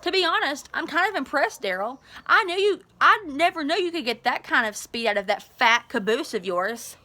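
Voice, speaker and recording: Woman reading as a sneering schoolboy bully, bright voice. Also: sits high, lively intonation, moderate pace, pitched above the performer's own voice. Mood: mocking. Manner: sneering, superior put-down delivery. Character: Zach, sneering, superior eighth-grade boy bully, mocking. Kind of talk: storybook read-aloud.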